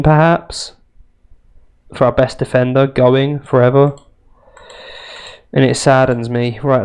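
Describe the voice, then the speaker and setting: A man's voice: medium pitch, darkish tone, smooth. A young man with a nasal, congested voice, chatting wryly through a football management game. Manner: chatty, self-mocking, wry asides. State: congested from a cold. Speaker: gaming youtuber. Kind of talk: casual let's play commentary.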